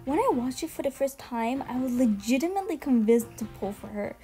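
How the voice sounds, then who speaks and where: Higher-pitched young woman, gushing casual commentary. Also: lively intonation, a little fast, dark tone. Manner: gushing, slangy, swears casually. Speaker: video essay host. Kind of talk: casual ranking commentary voice-over. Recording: music behind the voice. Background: music.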